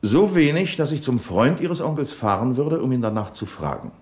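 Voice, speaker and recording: An actor playing a persistent police commissioner. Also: male voice, medium pitch, lively intonation, darkish tone, close to an everyday voice. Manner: measured, pointed questioning. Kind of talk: 1970s radio drama.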